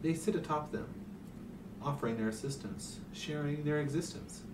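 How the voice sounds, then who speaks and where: A man's voice: fairly high pitch, normal speed, lightly acted. A man playing a creepy, outwardly kindly dentist with unctuous, condescending persuasion in an audio story, recorded in a hotel room. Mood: reverent. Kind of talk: hobby audio story reading. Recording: roomy hotel room, distant, noisy hum.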